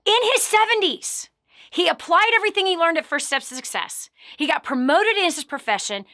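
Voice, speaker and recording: A middle-aged woman hosting a radio show, giving emphatic, preachy motivational advice that swells louder on key words. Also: extremely high pitch, lively intonation, fairly quick, dry recording, clean studio sound. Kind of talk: motivational coaching talk.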